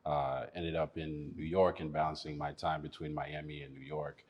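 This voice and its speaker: A man's voice: extremely low pitch, level tone, moderate pace. A man from Malawi, an attorney in his thirties, chatting casually in an interview with many fillers and frequent laughs. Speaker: attorney and investor.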